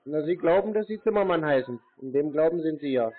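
A man's voice: fairly high pitch, lively intonation, fast talker, no echo. Mocking man on a phone line. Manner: mocking, provocative, terse. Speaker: scambaiter on phone calls. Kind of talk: casual prank phone call. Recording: narrow phone-line sound.

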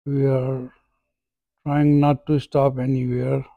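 Slow gravelly man narrating a trip. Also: mid-range voice, flat delivery, no room sound, narrow, tinny audio. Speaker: vlogger. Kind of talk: casual travel vlog narration.

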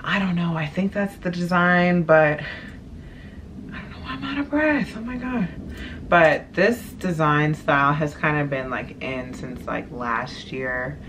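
A young woman with a deep voice chatting casually about her clothing haul in her bedroom, playful and laid-back. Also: unhurried, a bit bright, slight background noise. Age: young adult. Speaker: vlogger. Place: small bedroom, indoors. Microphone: camera at desk distance.

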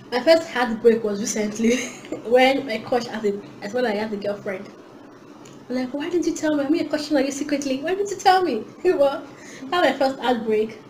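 A woman's voice: a little high, roomy sound, slight background noise, poor audio. A Nigerian woman in her twenties chatting casually to camera in a vlog, giggling often and talking with her hands. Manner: chatty, giggly, animated hand gestures. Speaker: vlogger. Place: small indoor room at home.

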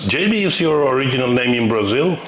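A man's voice: mid-range voice, lively intonation. A middle-aged man hosting a massage video, talking casually with many fillers and restarts, recorded on a lapel mic in a roomy indoor room. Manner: halting, many fillers and restarts, drops to a whisper while massaging. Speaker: massage therapist and host. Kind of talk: casual chat and whispered ASMR massage talk. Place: bright indoor room of an apartment. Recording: roomy room echo, faint background noise.

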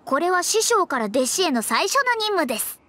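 A woman's voice: very high-pitched, big pitch swings, moderate pace, fairly bright tone, full character voice. A woman playing a proud young female astrologer in an anime game, formal, earnest and lecturing. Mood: composed.

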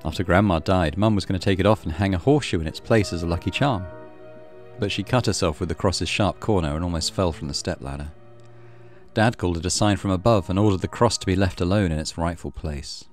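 A man reading a visual novel aloud as a let's play host, with expressive storytelling and casual commentary. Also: deep voice, fast. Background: soft game music.

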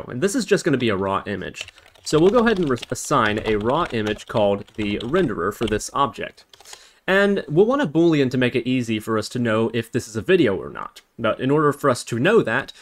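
Man narrating a coding tutorial. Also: mid-range voice. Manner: explanatory, thinking aloud while typing. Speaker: programming tutorial instructor. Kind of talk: step-by-step screencast tutorial narration.

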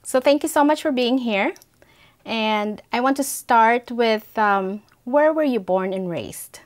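A middle-aged woman hosting an interview, delivering a scripted welcome and questions in a measured, deliberate way. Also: a little high. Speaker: host. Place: indoor studio against a brick wall.

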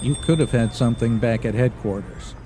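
Man playing a stoic, deadpan 1950s police detective. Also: medium pitch, brisk, music in the background, close to an everyday voice. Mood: businesslike. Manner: clipped, deadpan, matter-of-fact. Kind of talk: 1950s radio crime drama narration and dialogue.

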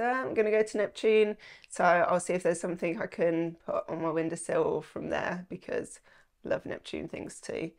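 A chatty woman in her thirties, talking to camera at home about things she bought. Her voice sits a little low and sounds slightly nasal from a starting cold. She rambles easily, full of "like" and "um". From England. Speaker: lifestyle vlogger. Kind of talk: casual haul chat to camera. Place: small indoor room at home.

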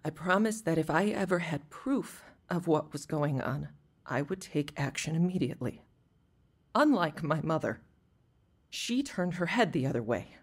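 An actress playing a hurt, resolute wife in a radio drama, husky and firm. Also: female voice, low-pitched, animated, normal speed, heavily acted. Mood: firm, pained. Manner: firm, wounded, intense. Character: hurt, resolute wife ending her marriage.

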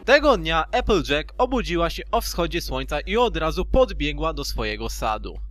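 A man's voice: fairly high pitch, sing-song, moderate pace, a bit bright, faint music behind. A man narrating a storybook in an amateur fan dub, theatrically and with loose asides.

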